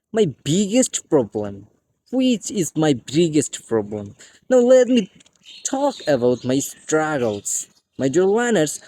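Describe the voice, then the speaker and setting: A man's voice: a little high, dark tone. A man from Bangladesh with a Bengali accent and Bengali as his first language, giving a scripted English lesson and often calling out to his "dear friends". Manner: repeated "my dear friends" addresses. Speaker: English teacher.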